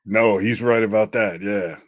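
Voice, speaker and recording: Man, very low voice, rambling casual storytelling, dry dull lo-fi sound. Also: unhurried, dry recording. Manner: rambling, lots of "like". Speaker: interview guest.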